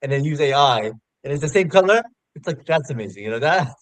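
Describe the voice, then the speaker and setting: A man chatting casually in a halting, rambling way on a live online show. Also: on the high side, lively intonation, dry recording. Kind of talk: casual chat.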